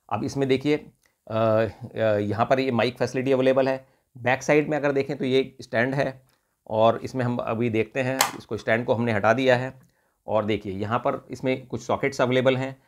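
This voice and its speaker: A man with an Indian accent talks through a product unboxing in an unscripted way. His voice sits at a medium pitch, and he explains each part, often restating things between Hindi and English. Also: a little mellow. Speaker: product reviewer.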